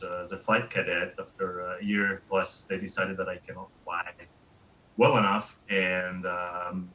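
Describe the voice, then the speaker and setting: A man with an Israeli accent answering interview questions as a remote guest, speaking somewhat haltingly. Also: deep voice, bright, forceful tone, a little hiss or hum, rough recording. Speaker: interview guest, industrial designer.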